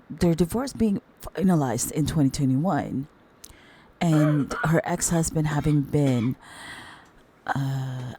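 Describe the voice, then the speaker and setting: A middle-aged woman with a hoarse voice from the flu, chatting casually with her viewers as host of a commentary channel and calling them darlings. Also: mellow, slight background noise. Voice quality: very deep voice.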